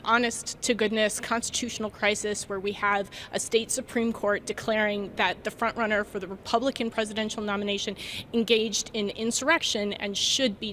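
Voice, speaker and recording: A woman news reporter giving live analysis from a remote feed, with emphatic, deliberate phrasing. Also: mid-range voice, a bit bright, slight background noise.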